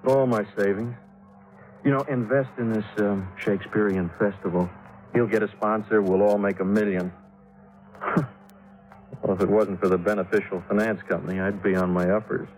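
A man plays a radio engineer in his thirties in an old radio drama. He has a fairly low voice and a casual, confiding way of talking, affable with some bitterness. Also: fairly even intonation, normal speed, darkish tone, natural voice, rough recording. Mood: resentful, confiding. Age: 30s. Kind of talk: 1950s radio drama acting.

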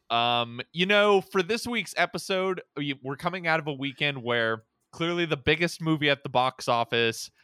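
A man's voice: mid-range voice, sharp tone, clear, resonant tone, no echo, studio quality. A man hosting a podcast in casual chat about movies and games, rambling and often repeating or interrupting himself. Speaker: podcast host.

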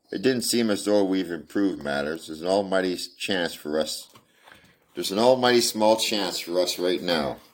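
A man reading the part of a weary frontier man, gentle with a child, in a folksy drawl. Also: sits low, voice pushed up, lightly acted. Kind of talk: amateur audiobook reading.

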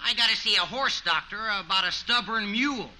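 A man's voice: high voice, a little fast, lightly acted. An actor playing a brash, scheming racetrack wise guy with cocky, slangy talk in a 1950s radio comedy. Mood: scheming bravado. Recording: noisy old 1950 radio recording.

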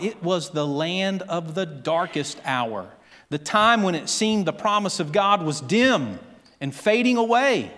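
Slow-preaching man in a reverberant hall. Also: fairly high pitch, a bit bright. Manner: slow, deliberate preaching with dramatic voiced quotations. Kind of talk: sermon.

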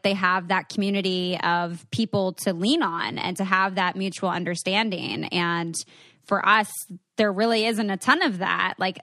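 A woman's voice: mid-range voice, fairly bright tone, dry recording, studio quality. A woman hosting a podcast in a casual, conversational way, effusive and appreciative of the people she talks with. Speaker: podcast host.

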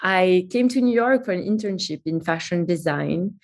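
A woman's voice: fairly low pitch, normal speed. A woman in her thirties from Belgium, chatting as a guest in a remote interview and telling stories with many fillers. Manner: chatty, animated storytelling with fillers. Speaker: guest, company CEO.

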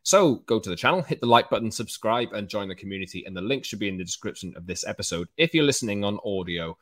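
A Welsh man hosting a football podcast, talking in a quick, chatty, energetic way. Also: fairly low pitch, no echo, studio quality. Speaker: podcast host. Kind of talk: casual football podcast chat.

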